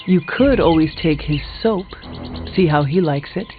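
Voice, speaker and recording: An actor playing a serious, formal warrior hero in a video game, earnest and literal-minded. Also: male voice, on the high side, normal speed, close to an everyday voice. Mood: puzzled, dry. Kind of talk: video game dialogue acting. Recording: thin phone-like game audio, music.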